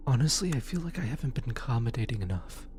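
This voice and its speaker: A man playing a gentle, apologetic demon who comforts lost souls in an ASMR roleplay, speaking softly and hesitantly. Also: mid-range voice, sing-song, fast talker, slight background noise, lightly acted. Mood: comforting, indignant. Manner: soft, soothing, hesitant with stammers. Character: gentle, apologetic male demon soul collector comforting the newly dead. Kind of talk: ASMR roleplay audio drama.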